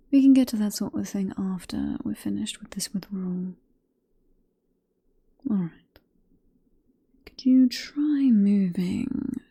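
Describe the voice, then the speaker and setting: Woman as a dark, whispering British vampire professor. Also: mid-range voice. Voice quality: soft, breathy whisper. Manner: coaxing, teasing, unhurried murmur. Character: possessive, teasing female vampire professor, coaxing and sly. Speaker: ASMR roleplay performer. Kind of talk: whispered ASMR roleplay. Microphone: close ASMR microphone.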